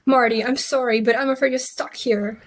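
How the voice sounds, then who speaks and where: A woman playing an excitable, eccentric old male inventor in a theatrical script read. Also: fairly high pitch, a little fast, natural voice. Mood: earnest. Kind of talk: table read of a film script.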